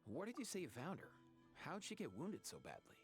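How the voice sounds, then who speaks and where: An actor playing a calm, friendly male healer in a fantasy video game. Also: on the high side, fairly quick. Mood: concerned. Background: game music.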